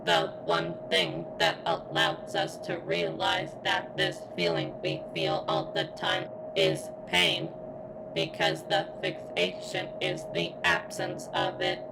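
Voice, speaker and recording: A woman playing a sentient robot girl in an ASMR roleplay, speaking haltingly and deliberately as if in constant pain, earnest and devoted. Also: rumbling low, creaky, noisy background, full character voice. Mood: pained, brooding.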